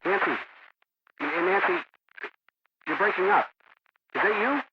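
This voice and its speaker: An actor playing a worried young boyfriend on a breaking-up phone call in an adventure game. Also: male voice, high voice, sing-song, a little slow, gravelly, exaggerated voice. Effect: broken-up phone connection. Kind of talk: scripted adventure game dialogue. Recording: crackly, noisy, dull phone line with clicks.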